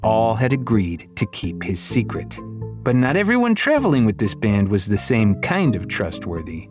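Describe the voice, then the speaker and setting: A man's voice: on the low side, huge pitch swings, normal speed. Theatrical male audiobook narrator over music. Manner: theatrical, wry storytelling. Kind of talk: dramatic scripted narration of a middle-grade fantasy. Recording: music behind the voice.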